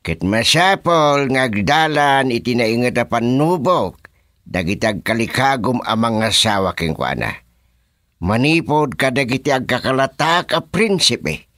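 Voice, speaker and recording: An actor playing a boastful king in a comic Ilocano radio drama, declaiming deliberately with an Ilocano accent. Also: medium pitch, sing-song, fairly bright tone, no room sound, clean studio sound. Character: boastful fairy-tale king, father of a princess.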